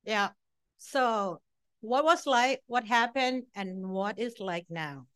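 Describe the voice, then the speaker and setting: A woman's voice: on the high side, lively intonation, slow pace, a bit bright, clean tone. A middle-aged woman from Thailand with a Thai accent, giving a blunt, self-deprecating personal testimony at a meeting in short punchy phrases. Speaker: speaker at a support-group meeting.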